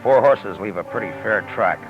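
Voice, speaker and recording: A man playing a stern, deadpan American frontier marshal in a 1950s radio western, both in dialogue and as narrator. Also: on the low side, moderate pace, lightly acted. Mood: grave narrating. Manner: laconic, deadpan. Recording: dull 1950s radio recording, music behind.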